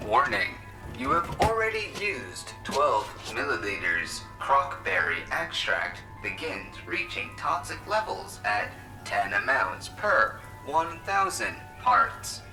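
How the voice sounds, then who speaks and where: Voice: mid-range voice, very animated, slow pace, creaky, full character voice. An actor voicing an anxious kitchen robot that speaks in formal warnings in an audio drama. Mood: nervous warning. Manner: nervous, formal. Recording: echoey, distant, music behind.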